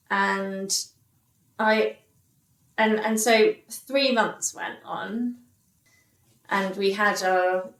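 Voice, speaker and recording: A woman's voice: mid-range voice, a bit bright. Woman telling a story in a roomy echo. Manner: halting, many restarts and fillers, quotes others. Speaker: podcast guest. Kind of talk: candid interview storytelling. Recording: echoey room.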